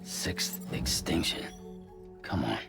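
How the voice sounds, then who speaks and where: An actor playing a terse, skeptical, weary courier hero in a video game cutscene, with a gravelly voice and a blunt, low-key way of questioning. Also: male voice, takes it slowly, music in the background, lightly acted. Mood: disbelieving.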